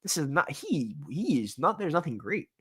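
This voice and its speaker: A man's voice: fairly high pitch, sing-song, moderate pace, fairly bright tone, breathy edge. A young man hosting his own sports talk show, venting in a casual, exasperated rant full of repeats and swearing.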